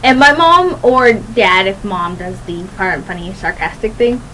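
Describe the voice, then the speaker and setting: A young woman hosting a radio show, rambling casually to listeners in a chatty, playful, animated and giggly way. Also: medium pitch, unhurried, slight background noise, low-quality recording. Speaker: radio host.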